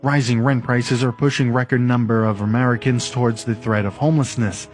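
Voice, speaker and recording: A man's voice: medium pitch, fairly even intonation, brisk, soft background music. A man giving news-style spoken commentary.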